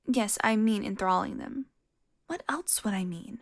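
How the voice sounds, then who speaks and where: A woman's voice: mid-range voice, measured pace. A woman playing a teasing, flirtatious, sardonic and foul-mouthed vampire in an audio roleplay, speaking straight to the listener. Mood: sardonic, amused. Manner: sardonic, teasing, breathy asides.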